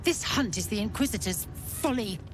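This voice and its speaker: A woman's voice: mid-range voice, animated, moderate pace, natural voice. An actress playing a cold, proud female githyanki creche commander who speaks in a clipped, formal, commanding way. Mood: stern. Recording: game audio with music behind.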